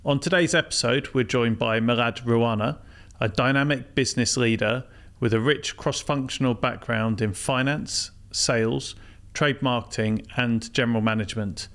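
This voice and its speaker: A man's voice: mid-range voice, normal speed, a bit bright. A middle-aged man hosting a business podcast, reading a polished intro and then asking interview questions. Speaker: podcast host, CEO.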